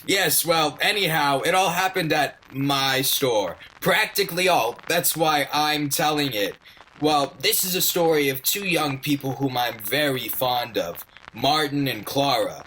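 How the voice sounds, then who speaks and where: A man's voice: a little high, unhurried, bright, forceful tone, slight background noise, low-quality recording. A man playing a proud, chatty shopkeeper who tells his story in a rambling, emphatic, old-time radio manner. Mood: fond, chatty. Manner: rambling, self-interrupting, emphatic. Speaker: narrator in character.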